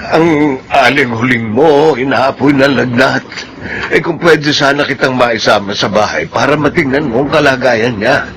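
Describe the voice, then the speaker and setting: Actor as a worried, hesitant Filipino village husband. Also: male voice, mid-range voice, normal speed, fairly bright tone, close to an everyday voice. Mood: anxious. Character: worried, humble village husband, grateful for help. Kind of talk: Filipino radio drama acting. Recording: noisy radio drama recording.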